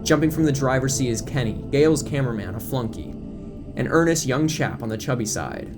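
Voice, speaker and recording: A man's voice: medium pitch, fairly quick, over music. A man narrating an audio drama in scripted present-tense prose, reading scene descriptions and action.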